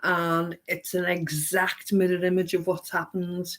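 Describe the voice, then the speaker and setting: A woman's voice: on the low side, monotone, a little slow, a bit bright. A woman co-hosting a casual live stream, chatty, repeating herself and stumbling over words. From Lancashire, England. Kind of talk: casual live chat reading viewer comments.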